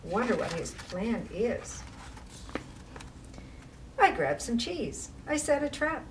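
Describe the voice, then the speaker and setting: Woman, children's storytime reader, unhurried pace, expressive phrasing, distant mic, faint background noise. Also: on the high side. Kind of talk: read-aloud children's rhyming story with casual asides.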